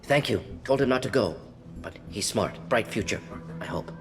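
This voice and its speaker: A man voicing a brisk, brainy, restless alien scientist in a video game, talking in clipped fragments as if thinking aloud. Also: mid-range voice, lively intonation, in a large hall, music in the background, close to an everyday voice. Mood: subdued. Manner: clipped telegraphic sentences, muttering deductions aloud.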